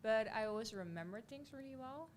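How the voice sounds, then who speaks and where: A young woman in her twenties from Curaçao, a native Dutch speaker with a Dutch accent, giving soft-spoken answers as a pageant guest. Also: on the high side, lively intonation, brisk, a little hiss or hum. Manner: soft-spoken, laughs easily. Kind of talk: casual interview answers.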